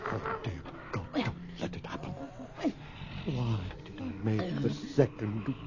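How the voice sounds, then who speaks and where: A man's voice: medium pitch, wildly swooping pitch, slow, heavily acted. A man playing a terrified, remorseful middle-aged husband and father in a radio horror drama, urgent and pleading with breathless dread. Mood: panicked. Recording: dull old radio recording, faint noise.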